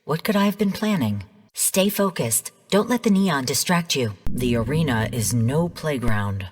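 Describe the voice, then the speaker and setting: An actress playing a female artificial intelligence in a video game, speaking in a measured, formal, clinical manner. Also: low-pitched, animated, darkish tone, breathy edge, faint music behind, heavily acted. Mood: commanding, encouraging. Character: calm female rogue artificial intelligence mentor turned genocidal overseer. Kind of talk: scripted video game voice lines. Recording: long hall-like reverb.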